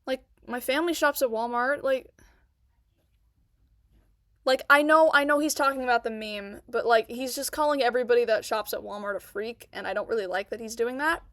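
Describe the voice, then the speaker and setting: A woman's voice: fairly high pitch, brisk, fairly bright tone, no room sound, studio quality. Indignant woman streamer who stutters. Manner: indignant, repeats herself. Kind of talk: reaction commentary.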